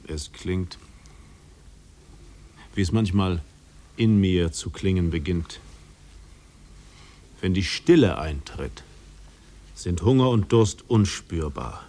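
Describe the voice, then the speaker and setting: An actor in a 1950s literary radio drama playing a tormented, world-weary man who speaks gravely and poetically. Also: low voice, lively intonation, slow pace, long pauses, dark tone, exaggerated voice. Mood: tormented, brooding.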